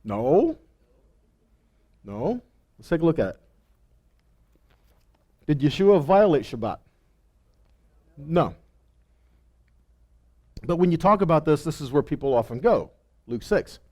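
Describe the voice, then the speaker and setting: Male Bible teacher, high register, highly varied intonation, emphatic rhetorical questions and repeated phrases. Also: sing-song, slow, long pauses, a little mellow. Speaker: preacher teaching scripture. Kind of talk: teaching sermon with rhetorical questions and scripture reading.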